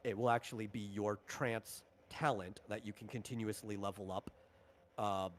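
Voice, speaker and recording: A man casually explaining the rules of a tabletop game as its host, in a halting way, rephrasing as he goes. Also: on the low side, fairly even intonation, slight background noise.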